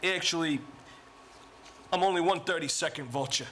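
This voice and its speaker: A man's voice: on the high side, moderate pace, bright, forceful tone, slight background noise. An actor playing a self-pitying male vulture who talks like a wiseguy, recorded live on stage.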